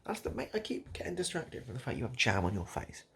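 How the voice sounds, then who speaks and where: A man improvising a comic monologue straight to the listener, halting and repeating himself in a mock-earnest, absurd way. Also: mid-range voice, huge pitch swings, fairly bright tone, husky. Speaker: online video host.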